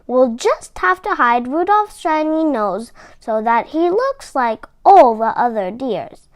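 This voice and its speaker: Lady doing a worried reindeer dad, kids book, high and dramatic, stretches words out. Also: big pitch swings, no echo, full character voice. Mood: resolved. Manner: loud, drawn-out, dramatic. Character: dismayed proud father reindeer, exclaiming.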